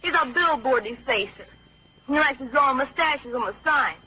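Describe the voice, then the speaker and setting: A woman's voice: high voice, lightly acted. A woman playing a bossy, confident child full of schemes in an old-time radio comedy. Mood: brash. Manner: brash, bossy, quick to instruct. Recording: dull vintage radio recording.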